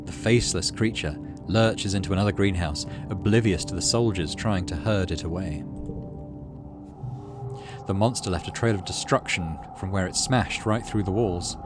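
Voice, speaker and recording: A man's voice: deep voice, normal speed. A man narrating a visual novel dramatically, with casual asides as a gaming channel host. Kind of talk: casual let's play commentary between readings. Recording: game music behind the voice.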